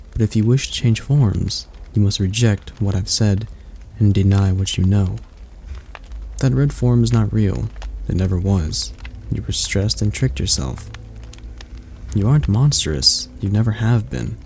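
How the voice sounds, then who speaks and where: A man voicing a male demon tutor who calmly coaches a young royal in an ASMR roleplay, speaking slowly and softly in a coaxing way. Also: fairly low pitch, gravelly, husky, full character voice. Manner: slow, soft-spoken, coaxing.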